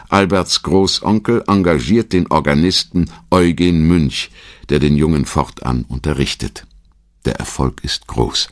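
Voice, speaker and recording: A man with an extremely low voice narrates a scripted documentary. His delivery is measured and story-like, with lively rises and falls in pitch. Faint music plays behind him.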